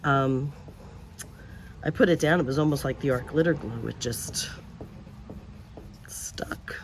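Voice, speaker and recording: Slow, gravelly-voiced woman crafting outdoors. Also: a bass voice, background noise. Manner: quiet and subdued, drifting into mutters and whispers while working. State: voice lower and deeper than usual. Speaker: host of a paper craft channel. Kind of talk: casual crafting chat while working. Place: outdoors, in a breeze.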